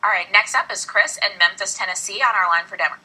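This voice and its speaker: Female broadcast host, quick and bright, slightly husky, handling calls. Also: mid-range voice, poor audio. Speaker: call-in show host. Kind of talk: live broadcast moderating.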